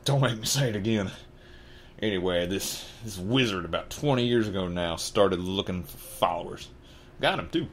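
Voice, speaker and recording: A man voicing a big, gruff, kindly but anxious giant in a Southern US accent, with halting, folksy speech. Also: deep voice, expressive, a little hiss or hum. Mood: grave. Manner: halting, folksy, dropped consonants.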